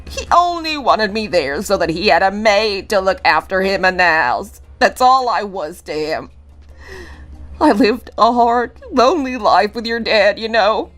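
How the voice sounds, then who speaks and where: A woman's voice: medium pitch, expressive, unhurried, fairly bright tone, faint music behind. An actress playing a drunken old widowed mother in a drama, bitter and full of self-pity. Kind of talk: dramatic dialogue.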